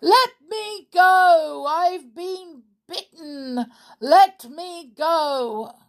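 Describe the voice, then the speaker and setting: A woman's voice: extremely high pitch, drawn-out words, voice pushed up, full character voice. A woman voicing an angry, aggrieved man in a storybook, complaining in an anguished, raging way.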